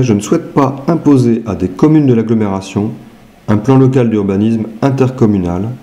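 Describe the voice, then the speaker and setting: Mellow-voiced man reading prepared statements. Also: on the low side. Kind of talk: scripted reading of written statements.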